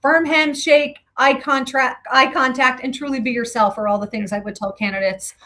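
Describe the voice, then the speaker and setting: A woman's voice: high-pitched, steady tone, moderate pace, bright, forceful tone. A woman answering interview questions as a guest, conversational and earnest, explaining her advice in a tentative, thinking-aloud way.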